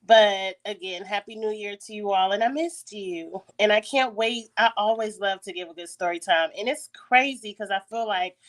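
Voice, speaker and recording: Woman, raspy congested voice, clears her throat often, chatty storytelling, phone recording. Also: mid-range voice. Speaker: YouTube host. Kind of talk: casual story time.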